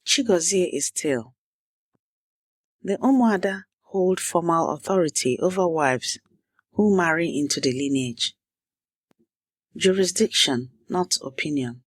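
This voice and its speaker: Slow, husky-voiced woman narrating a lesson. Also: on the low side, animated, dry recording, studio quality. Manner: clipped, measured fragments with deliberate pauses; repeats target phrases slowly for learners. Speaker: language teacher and host. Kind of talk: scripted story narration and language drill.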